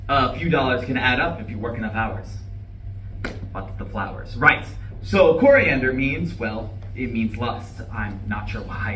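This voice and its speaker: A man's voice: mid-range voice, pushed and bright. A man in a live play reading, playing a nervous, self-justifying husband who rambles and corrects himself. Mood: eager, rambling. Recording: echoey distant live room recording, faint noise.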